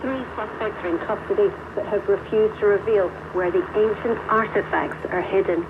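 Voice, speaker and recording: A woman's voice: mid-range voice, steady tone, moderate pace. A woman correspondent reading a scripted news report over shortwave radio. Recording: tinny noisy shortwave radio reception. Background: loud radio static.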